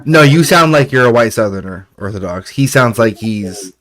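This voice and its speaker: A man's voice: mid-range voice, lively intonation, normal speed, crowd noise. A man in casual panel chat who asks pointed, probing questions.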